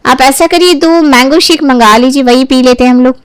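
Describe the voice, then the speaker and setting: A woman acts out a young sister-in-law from a moral tale, pitched high in a dry recording. She is bossy and picky, and talks down to others as she finds fault. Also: fairly quick, clean tone, dry recording, close to an everyday voice. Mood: haughty, critical. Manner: condescending, interrupting, complaining. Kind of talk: acted dialogue in a Hindi family storybook tale.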